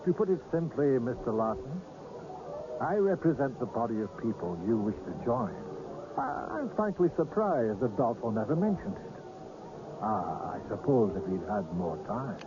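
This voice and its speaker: A man's voice: mid-range voice, very animated, moderate pace, close to an everyday voice. An actor in a radio drama playing a polite, mysterious older man with a genteel, precise, faintly hesitant manner. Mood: apologetic, assuring. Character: polite, mysterious old scout of seers. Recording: dull, noisy old radio broadcast.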